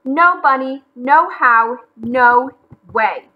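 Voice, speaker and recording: A woman's voice: fairly high pitch, animated, slow, husky, narrow, tinny audio, heavily acted. A woman reading a picture book, voicing a grumpy, blustering man who exclaims indignantly. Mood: angry exclaiming. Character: grumpy, blustering man feuding with bunnies at the beach.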